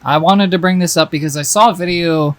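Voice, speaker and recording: A man's voice: a little high, fairly quick. A young man co-hosting a casual podcast chat, joking around, swearing freely and laughing often. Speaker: podcast co-host.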